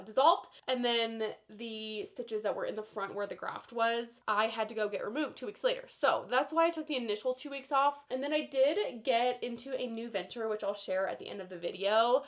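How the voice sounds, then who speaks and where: Chatty vlogger lady, bit higher voice, nasal and stuffed up, rambly and casual. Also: a bit bright. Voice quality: slightly nasal. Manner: chatty and rambling, with fillers like "like" and "you know". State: stuffed up from a cold. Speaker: beauty vlogger. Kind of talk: casual chat while doing makeup.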